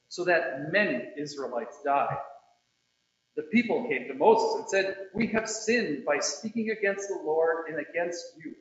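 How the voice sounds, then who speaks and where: In a very echoey room, a man with a rather high voice reads scripture aloud at a church service. His delivery is solemn and measured, taking its time over each phrase. The recording is a little dull. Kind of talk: solemn scripture reading with short introductions. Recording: very echoey room, slightly muffled.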